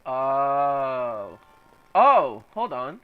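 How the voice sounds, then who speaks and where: A young man casually chatting while streaming a game from home, reacting out loud to the game and to chat. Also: mid-range voice, big pitch swings, extremely slow, clear, resonant tone, narrow, tinny audio. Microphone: laptop microphone.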